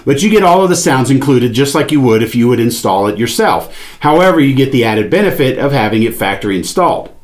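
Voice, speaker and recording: A man's voice: medium pitch, animated, quick pace, few pauses. A man in his forties giving an instructional product demonstration, explaining things step by step. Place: small indoor studio with padded panel wall, seated at a table.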